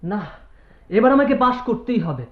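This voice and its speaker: A man's voice: extremely high pitch, sing-song, moderate pace. A man playing a clownish, freeloading student, singsong and wheedling, in a radio drama. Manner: singsong, wheedling, peppered with Hindi and English. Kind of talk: Bengali radio drama acting. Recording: roomy, echoing, phone-like audio.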